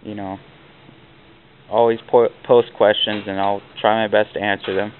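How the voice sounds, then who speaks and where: Man, low-ish voice, blunt workshop instructor, casual tutorial, tinny camera audio with light hiss. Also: level tone, moderate pace. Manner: plainspoken, blunt, with fillers like "like". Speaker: welding instructor. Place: workshop, chalking on a steel plate.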